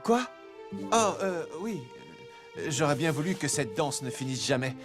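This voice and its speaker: A man voicing a young romantic king in a fairy-tale cartoon, regal and expressive, with loud music behind him. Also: on the high side, normal speed, lightly acted. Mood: wistful. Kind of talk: animated fairy-tale dub.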